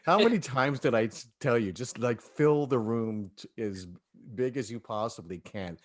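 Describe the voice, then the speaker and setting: A man's voice: medium pitch, lively intonation, normal speed. A man hosting a casual panel discussion, talking with enthusiasm. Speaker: host of a cast talkback.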